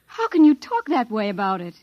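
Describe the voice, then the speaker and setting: A woman's voice: a little high, wildly swooping pitch, brisk, no echo. An actress playing an earnest, distressed but determined woman captive in a 1950s radio drama. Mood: disbelieving. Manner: anxious, earnest, questioning. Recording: dull vintage 1950s radio recording.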